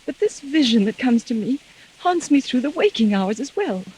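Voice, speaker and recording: A frightened wife in an old 1940s radio thriller. Her husky, breathy voice is halting yet insistent. She sounds haunted and suspicious of those around her. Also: high voice, big pitch swings, a little mellow, exaggerated voice, low-quality recording. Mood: anxious confiding. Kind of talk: 1940s radio drama acting. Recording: vintage 1940s radio recording.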